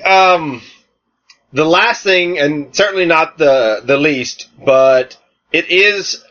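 A man's voice: fairly high pitch, slow, bright, forceful tone. A man with an American Southern accent, co-hosting a podcast in casual chat with lots of fillers. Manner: rambling, many fillers. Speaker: podcast co-host. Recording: dull lo-fi podcast audio.

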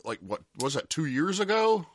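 A man's voice: fairly high pitch, normal speed, no room sound. A man with a Southern US accent co-hosting a podcast, teasing and cursing in casual banter. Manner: teasing, profane, lively. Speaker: podcast co-host.

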